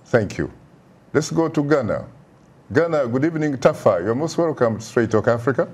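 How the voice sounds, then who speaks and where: A man's voice: mid-range voice, lively intonation, normal speed, darkish tone, breathy edge. A man hosting a live phone-in talk show, courtly and effusive in his phrasing.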